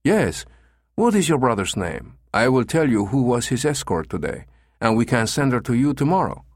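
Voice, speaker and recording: A man's voice: medium pitch, big pitch swings, moderate pace, no echo, clean studio sound, voice pushed up. A man voicing a careful, polite businessman in an audiobook story, speaking in a formal and guarded manner. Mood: courteous. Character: careful, smooth Spanish escort agency manager, a man.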